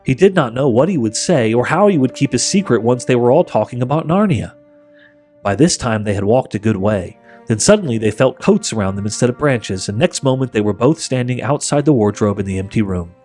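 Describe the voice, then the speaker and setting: A man narrates a storybook in an expressive audiobook style. His medium-pitched voice moves with lively intonation over a bed of music. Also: a little fast.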